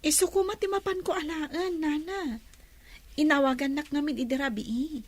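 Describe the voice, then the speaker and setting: An earnest, respectful young woman, played by an actress, in a radio drama. Her voice is very high and girlish, addressing her elders politely. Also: fairly even intonation, normal speed, natural voice. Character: polite, earnest young daughter awaiting a parcel.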